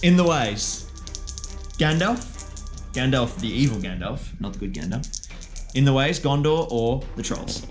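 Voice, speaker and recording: A man's voice: mid-range voice, animated, unhurried, pauses often. A man giving casual, self-mocking play-by-play commentary as he hosts and plays a tabletop wargame. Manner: jokey, self-mocking, exclaiming at dice rolls. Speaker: player and host of a tabletop wargame video. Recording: music behind the voice.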